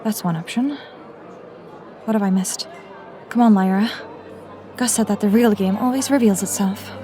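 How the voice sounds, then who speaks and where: An actress playing an anxious young woman infiltrator who mutters to herself in a hushed, self-questioning way in an audio drama. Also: mid-range voice, moderate pace, a faint crowd, natural voice. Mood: focused, self-coaxing.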